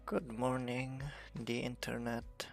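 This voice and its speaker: A hoarse man chatting casually on a livestream about his game development work from a messy university office, relaxed and self-mocking. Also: medium pitch, takes it slowly, soft background music. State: hoarse, recovering voice.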